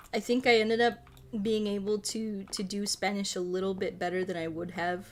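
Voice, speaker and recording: A woman in her thirties, a streamer chatting casually while she plays a puzzle game, playful and quick to poke fun at herself. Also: lowish voice, slight background noise. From United States. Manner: playful, self-mocking, laughs often, tries out broken Spanish and French.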